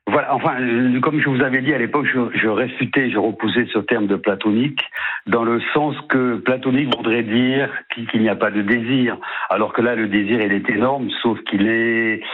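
A man's voice: medium pitch, steady tone, husky. Tired man in his fifties on a phone line. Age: 50s. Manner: halting, repeats words, rambling. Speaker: caller. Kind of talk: phone-in confiding.

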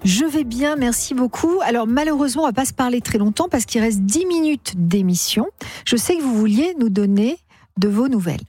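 A woman's voice: on the high side, expressive, a little fast, darkish tone, breathy edge, dry recording. A woman hosting an evening call-in radio show, chatty and prompting her caller. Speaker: radio host. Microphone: studio microphone.

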